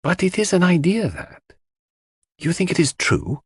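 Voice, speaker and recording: A man voicing a courteous, shrewd detective with a measured, formal and confiding manner in an audiobook. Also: sits high, sing-song, husky, no room sound, studio quality, pitched above the performer's own voice, heavily acted. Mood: musing.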